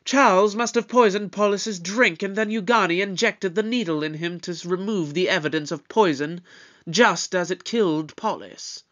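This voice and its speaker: A man's voice: sits high, normal speed, a bit bright, dull audio. A man playing a bold, moralizing alien time-traveler in an audio drama, in a declamatory, pompous manner. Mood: explanatory.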